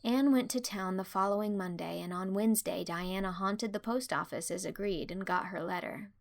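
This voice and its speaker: A woman narrating a storybook in a measured, expressive, scripted way. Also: mid-range voice, vocal fry, no echo. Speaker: audiobook narrator.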